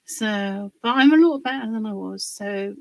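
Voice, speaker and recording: A woman, slightly hoarse from a cold, chatting casually on a livestream while she works on a craft project, pausing with fillers. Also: mid-range voice. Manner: chatty, rambling, pauses with fillers while working.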